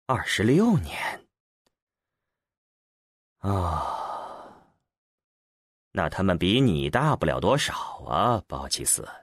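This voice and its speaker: Man voicing a brusque, husky space explorer. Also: on the low side, sing-song, moderate pace, pauses often, a bit bright, no room sound, clean studio sound, voice pushed down, heavily acted. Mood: sardonic, dismissive. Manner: skeptical, commanding, sardonic. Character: brusque, impatient, sardonic male space explorer. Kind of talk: acted audiobook dialogue.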